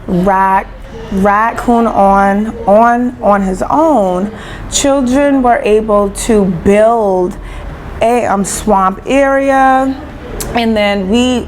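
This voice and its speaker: A woman's voice: medium pitch, darkish tone, a little hiss or hum, rough recording. A woman explaining things as a teacher in an interview, often repeating syllables and words mid-sentence. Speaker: early-childhood teacher being interviewed.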